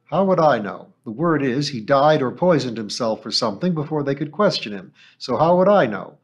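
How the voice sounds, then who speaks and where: A man's voice: lively intonation, moderate pace, slightly rough, highs cut off. A male audiobook narrator voicing a shrewd, anxious old woman shopkeeper who complains and dodges questions. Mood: defensive. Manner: shrill, complaining, evasive.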